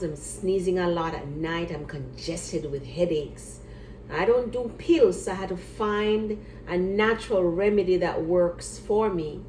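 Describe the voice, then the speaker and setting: A woman's voice: mid-range voice, warm tone. An older woman, a retired nurse, chatting casually at home as she walks through a simple remedy step by step, often repeating herself. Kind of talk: casual home demonstration video. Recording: echoey room with faint background hiss.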